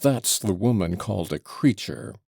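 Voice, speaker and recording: A man voicing a blustering old father who yells and splutters theatrically. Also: deep voice, expressive, moderate pace, no room sound, studio quality. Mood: ranting.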